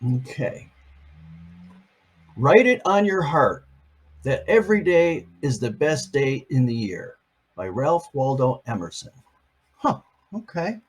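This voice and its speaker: An older grandfather reads a children's book aloud, his voice a little husky and breathy. He takes his time, lingering over each line, and his fairly high voice rises and falls with a lot of lively swing. Between pages he drops in gentle, playful comments. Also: takes it slowly, long pauses. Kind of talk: reading a picture book aloud.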